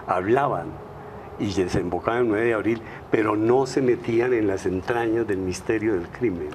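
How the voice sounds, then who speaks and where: A man's voice: lowish voice, normal speed, a little mellow, a little hiss or hum. A man, a novelist, speaking emphatically on a studio panel, stacking words in rhythmic series. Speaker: novelist guest.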